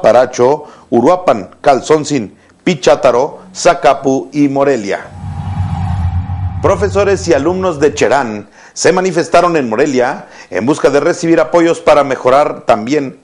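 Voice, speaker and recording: Mexican male news anchor, mid-pitched. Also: darkish tone. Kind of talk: scripted news presentation. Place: TV news studio.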